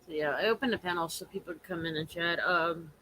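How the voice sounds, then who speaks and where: A woman whose voice is going hoarse from allergies chats on a casual home live stream. She speaks in a halting, earnest way, circling back and repeating her points as she talks to viewers. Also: on the low side, bright, forceful tone, poor audio.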